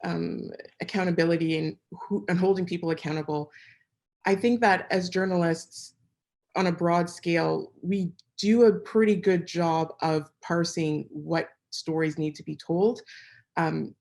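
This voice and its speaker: A woman who works as a journalist, offering measured, thoughtful remarks as a panelist at a conference. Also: lowish voice, a little mellow.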